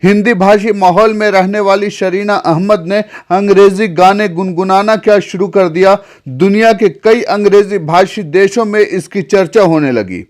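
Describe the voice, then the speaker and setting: A man's voice: high voice, normal speed, few pauses. A man who narrates a news report in a formal tone and then interviews a guest, often hesitating when he speaks off script.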